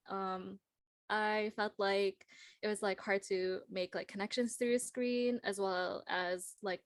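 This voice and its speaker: A woman's voice: medium pitch, dry recording, clean studio sound. A young woman speaking as a guest on a casual panel, in informal phrasing full of filler words. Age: young adult. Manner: informal, peppers speech with "like". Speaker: guest speaker, social worker.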